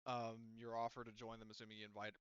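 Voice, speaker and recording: Man, game master, conversational, hesitant phrasing, clean smooth tone, slightly dull dry recording. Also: lowish voice, completely flat delivery, a bit bright, no echo. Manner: halting, searching for words. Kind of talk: running a tabletop roleplaying session, conversational.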